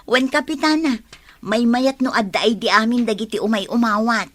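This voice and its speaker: Woman acting brisk filipino lady in a village comedy, sharp bright voice, bit high. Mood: businesslike.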